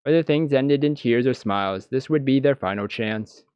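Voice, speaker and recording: A man reading visual novel text aloud as scripted narration, with a deliberate, dramatic storytelling delivery. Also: medium pitch, darkish tone, smooth, no room sound, lo-fi sound.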